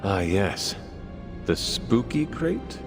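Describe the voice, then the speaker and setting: An actor playing a reserved, weary warrior hero in a video game, terse and formal in manner. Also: male voice, low-pitched, sing-song, extremely slow, full character voice. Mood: measured. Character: reserved, weary ancient warrior man, stoic. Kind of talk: video game cutscene acting. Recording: hall reverb under music.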